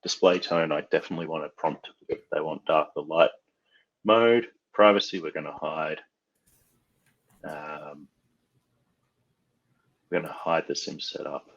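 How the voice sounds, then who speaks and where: A man's voice: extremely low pitch, measured pace, vocal fry, pauses often, fairly bright tone, husky, dull audio. A man from Australia casually walking through a device setup tutorial, thinking aloud with frequent fillers. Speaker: IT trainer. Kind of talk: screen-share tech tutorial.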